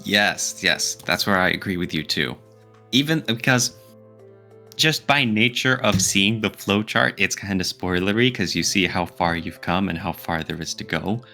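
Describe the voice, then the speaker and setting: A man with a fairly low, very bright and forceful voice co-hosts a casual gaming podcast. He talks in an opinionated, emphatic manner, and music plays behind him. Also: moderate pace, creaky. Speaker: podcast co-host. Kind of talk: casual podcast discussion of video games.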